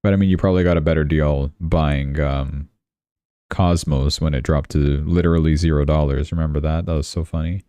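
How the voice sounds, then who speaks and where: Casting: male livestream news host, very low mellow voice, offhand and wry, close dry sound. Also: steady tone, a little fast, clear, resonant tone, dry recording. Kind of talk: casual live commentary on crypto charts and news.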